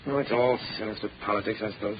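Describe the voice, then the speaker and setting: A man's voice: lowish voice, fairly even intonation, very fast, lightly acted. An actor playing a suave, unruffled man about town, urbane and dry, in 1930s radio style. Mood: urbane, composed. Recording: dull, noisy 1930s radio recording.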